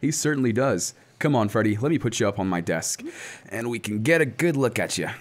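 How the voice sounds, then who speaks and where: A man's voice: fairly low pitch, animated, a little fast, natural voice. An actor playing a confident, kindly heroic man in an old-time radio serial. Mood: genial.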